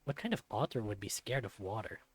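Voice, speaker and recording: A man's voice: on the low side, expressive, brisk, breathy edge. A man voicing a self-deprecating young male otter, narrating a visual novel in the first person with wry self-mockery. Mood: wry, subdued.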